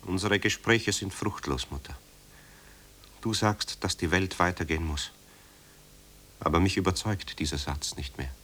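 An actor playing a guilt-ridden, brooding composer and son in a German radio drama, speaking in literary, rhetorical phrases. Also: male voice, low-pitched, a little slow, long pauses, a bit bright. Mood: resigned.

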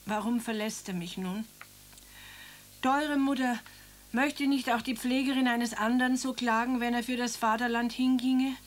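A woman's voice: fairly high pitch, steady tone, a little slow, close to an everyday voice. An actress playing an elderly grieving mother who reads her son's letter and then talks about him in homely, rambling speech. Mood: sorrowful. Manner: plain homely speech with tag questions. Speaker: mother of Karl. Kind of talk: radio drama acting.

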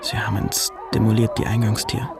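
An actor playing an anxious butcher's son in a radio drama, testifying haltingly in with inverted word order. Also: male voice, on the low side, flat delivery, vocal fry, loud music behind the voice. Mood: troubled recounting.

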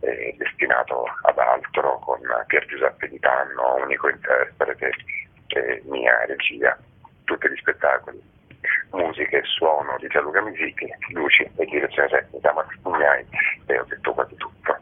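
A man giving halting, measured, reflective answers as a theatre director interviewed over a tinny telephone line. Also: extremely low pitch, fairly even intonation, husky. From Rome, Italy. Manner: halting, measured, with pensive pauses. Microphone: phone call.

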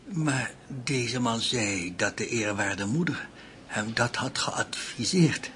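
A man's voice: medium pitch, animated, fairly bright tone. A man playing a shaken, hesitant priest recovering from an attack, in a radio drama. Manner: halting, unsure, careful. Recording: radio play with noticeable background noise.

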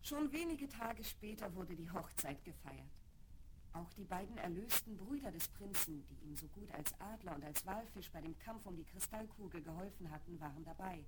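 A woman's voice: lowish voice, lively intonation, normal speed. Woman storyteller on a very noisy record. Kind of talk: scripted fairy-tale narration. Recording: very noisy old vinyl record rip.

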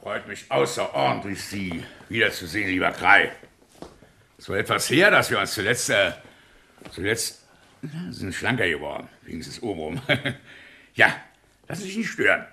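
A man's voice: lowish voice, sing-song, moderate pace, gravelly, sharp tone, a little hiss or hum, full character voice. An actor playing a dithering, self-important older school inspector in a comic radio play, hesitant and repeating his own phrases. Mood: affable, pompous.

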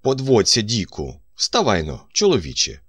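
A man voicing an agitated man who shouts at a friend, then calls urgently for a doctor. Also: mid-range voice, sing-song, slow, breathy edge, pitched above the performer's own voice, full character voice. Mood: angry urging.

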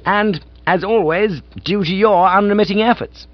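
A man voicing an excitable, pompous upper-class English gentleman in a comic audiobook, breezy and self-satisfied. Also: high voice, moderate pace, muffled recording, voice pushed up, natural voice. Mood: breezy, pleased.

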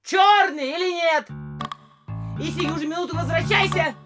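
An actress playing a nosy, fretful middle-aged mayor's wife in a theatrical comedy audio play, scolding and insisting in exclamations. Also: female voice, very high-pitched, moderate pace, over music. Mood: impatient, nosy.

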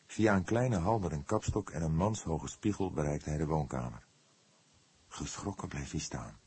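Deep, husky male audiobook narrator. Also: darkish tone. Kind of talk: dramatic scripted narration of a crime novel.

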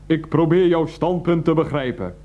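Exasperated, evasive husband, played by a man, fairly high voice, protesting, radio play. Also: moderate pace, narrow, tinny audio, close to an everyday voice. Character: Freek, exasperated evasive husband, petty and defensive. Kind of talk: Dutch radio play acting.

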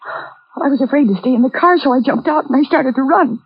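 High-voiced frightened sister, vintage radio. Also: female voice, a little fast. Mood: frightened, insistent. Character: frightened but plucky young sister. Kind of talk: 1940s radio thriller acting. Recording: dull band-limited vintage radio recording.

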